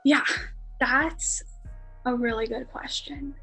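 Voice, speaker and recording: This is a chatty young woman, a native Armenian speaker, talking as a podcast guest. Her voice sits a bit higher than usual. She fills her talk with "like" and laughs easily. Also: steady tone, slow pace, sharp tone, breathy edge, faint music behind, rough recording. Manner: chatty, many "like"s, laughs often. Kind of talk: casual storytelling.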